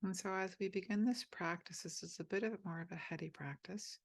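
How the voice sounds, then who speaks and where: A woman leading a guided meditation, speaking softly and gently inviting the listener, with pauses between phrases. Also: on the low side, creaky, no echo. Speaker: meditation teacher.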